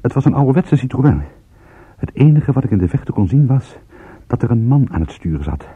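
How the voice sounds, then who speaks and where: An actor playing a wry private detective in a radio play, speaking and narrating in a dry, understated way. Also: male voice, medium pitch, big pitch swings, normal speed, husky. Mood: grave, suspenseful narrating. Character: wry male private detective, calm under mortal danger. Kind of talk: radio play acting with first-person narration. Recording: muffled, phone-like radio drama recording.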